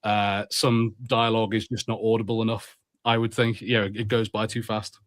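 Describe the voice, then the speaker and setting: A man's voice: fairly low pitch, normal speed, dry recording. Rambling male podcast co-host, dry sound. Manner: rambling, animated, laughs easily. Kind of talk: casual podcast chat.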